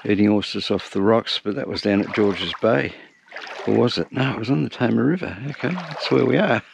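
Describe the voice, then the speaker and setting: A man with a gravelly, creaky voice narrates from the deck of his small wooden sailboat. He talks in an unhurried, reflective way with wry asides. Loud motor and water noise sit under his voice throughout. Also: lowish voice. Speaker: sailing vlogger. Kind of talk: casual first-person narration while sailing.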